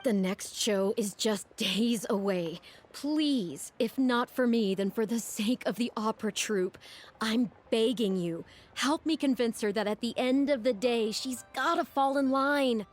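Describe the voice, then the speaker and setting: A woman's voice: fairly high pitch, a little slow, soft background music. A woman voicing an anxious, easily exasperated young female opera troupe manager in a video game, flustered and pleading.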